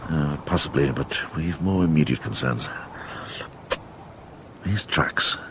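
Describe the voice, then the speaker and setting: An older man playing a shrewd, calm and authoritative medieval monk in a radio drama, speaking in a measured, deliberate way. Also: a bass voice, exaggerated voice. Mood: grave, intent. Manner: measured, deliberate, thinking aloud. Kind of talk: British radio drama acting. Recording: clear background ambience noise.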